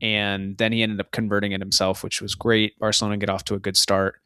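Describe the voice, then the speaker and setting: Low-voiced man recapping soccer matches. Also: little pitch movement, quick pace, bright, forceful tone, smooth, no echo, clean studio sound. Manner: rambling, chatty play-by-play recaps. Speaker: podcast co-host. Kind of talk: casual soccer podcast chat.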